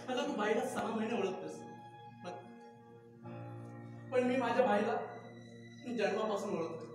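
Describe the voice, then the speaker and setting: A man's voice: high-pitched, a little slow. A man playing an exasperated husband and loyal younger brother in a melodramatic domestic drama, pleading and reproving. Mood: defensive. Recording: echoey hall, distant mic, music.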